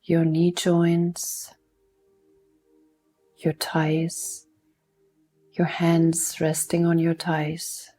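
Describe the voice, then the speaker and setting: A woman leading a guided mindfulness meditation in a soft, soothing manner, unhurried and leaving long pauses between instructions. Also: lowish voice, monotone, soft background music.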